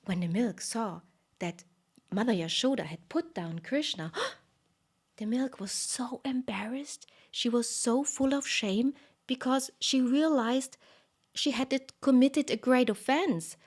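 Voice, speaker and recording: Slow, expressive woman storyteller. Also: a little high, slightly rough. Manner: expressive, with fillers and dramatic pauses. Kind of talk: live storytelling for listeners.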